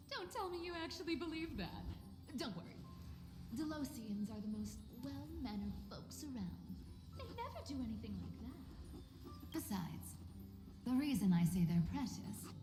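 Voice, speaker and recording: A woman voicing a playful, self-possessed young woman in a fantasy video game, teasing and theatrical. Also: a little high. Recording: echoey reverb with music behind.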